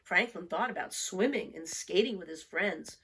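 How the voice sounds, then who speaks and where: A woman reading a picture book aloud for young children, expressive and deliberate, with storytelling emphasis. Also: on the low side, creaky, breathy edge, poor audio. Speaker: storybook reader.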